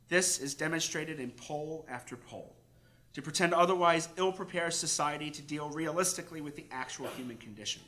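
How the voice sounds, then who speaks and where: Bright, forceful man reading a formal address. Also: a little high. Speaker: priest reading a cardinal's paper at a conference. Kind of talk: formal scripted address read aloud.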